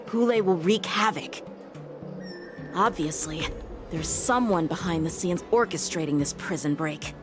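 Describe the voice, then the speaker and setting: A woman's voice: medium pitch, lightly acted. A woman voicing an earnest, dutiful teenage boy knight lieutenant in a game, speaking formally and conscientiously. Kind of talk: acted game story dialogue.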